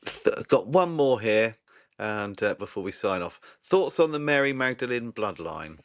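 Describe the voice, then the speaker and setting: A man's voice: fairly low pitch, sing-song, a little slow, dry recording, studio quality. A man hosting a livestream interview, polite and self-deprecating as he wraps up questions. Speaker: show host. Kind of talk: livestream conversation.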